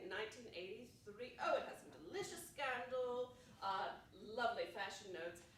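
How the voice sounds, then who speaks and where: A woman's voice: fairly high pitch, fairly bright tone. A woman on stage playing an eccentric, grandly theatrical old lady, effusive, rambling and giggly in her delivery. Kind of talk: live stage play acting. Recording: distant, echoey, noisy stage recording.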